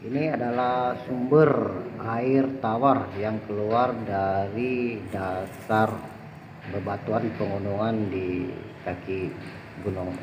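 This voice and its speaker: A man's voice: lowish voice, fairly even intonation, slow pace, background noise. A man narrating an outdoor vlog in a chatty way, addressing viewers as friends. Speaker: bushcraft vlogger.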